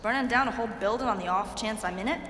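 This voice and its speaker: A woman's voice: on the high side, rapid-fire, fairly bright tone, lightly acted. An actress playing a tough, foul-mouthed female thief leader in an audio drama, sarcastic and brash. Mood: wry. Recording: roomy hall reverb, faint noise.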